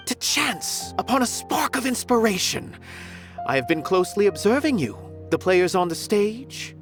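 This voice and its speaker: A woman voicing an aloof, condescending theater philosopher in a video game, pompous and musing. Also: mid-range voice. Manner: pompous, musing, deliberate phrasing. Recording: faint music behind the voice.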